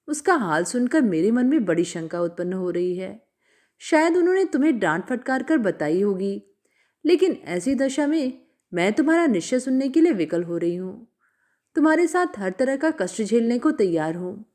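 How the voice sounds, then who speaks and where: A woman's voice: fairly high pitch, a little mellow. A woman reading a letter as a loving, anxious young woman with a tender, pleading manner.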